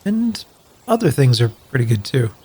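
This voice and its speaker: An actor playing a sincere, joking wasteland survivor in an audio drama, speaking earnestly and hesitantly with wry, profane humour. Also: male voice, mid-range voice, very animated, normal speed, noisy background, natural voice. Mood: tender. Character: sincere, grateful, joking male wasteland survivor, loyal friend. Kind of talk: audio drama dialogue, naturalistic.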